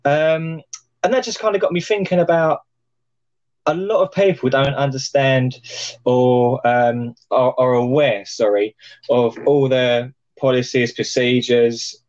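A man hosting a podcast over a video call, chatty and joking with lots of fillers, his voice a little croaky. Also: mid-range voice, fairly even intonation, unhurried, a little mellow, dull audio. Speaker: podcast host. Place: plant room.

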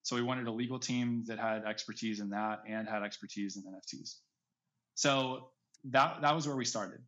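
A man's voice: mid-range voice, fairly even intonation, moderate pace, fairly bright tone. Man in casual interview, slightly dull audio. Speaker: podcast guest, audio drama creator.

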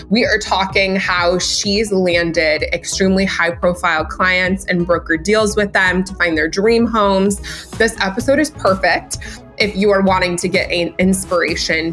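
Bubbly woman podcast host over soft music. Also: mid-range voice. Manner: bubbly, upbeat, says "like" a lot. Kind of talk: casual podcast chat.

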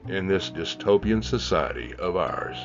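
A man's voice: deep voice, animated, measured pace. A man hosting a podcast who reads out figures and then jokes loosely. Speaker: podcast host. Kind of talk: podcast narration. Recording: dull sound with faint music behind.

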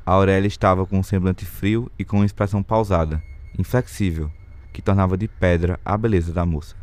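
A man's voice: sits low, steady tone, a little fast, darkish tone. A man with a Brazilian accent narrating a period novel as scripted audio drama.

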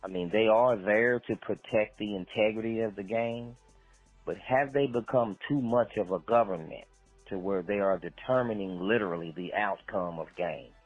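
A man's voice: fairly low pitch, moderate pace, husky, no echo. A man giving his casual opinions as a sports fan in a voicemail, repeating phrases for emphasis. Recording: muffled voicemail, music behind.

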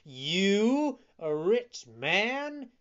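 A narrator voicing a sly, scornful fox swindler in a children's story, smooth-talking and insinuating. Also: mid-range voice, very animated, slow pace, lo-fi sound.

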